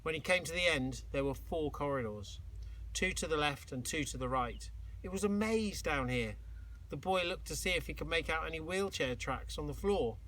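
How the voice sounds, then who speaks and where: Bright-voiced man reading a story dramatically. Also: fairly high pitch, expressive. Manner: dramatic, with exclaimed sound effects and suspenseful pauses. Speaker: teacher reading aloud to pupils.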